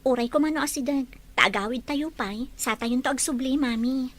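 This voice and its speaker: A woman playing an expressive young woman in an Ilocano audio drama. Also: high-pitched, moderate pace. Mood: resigned.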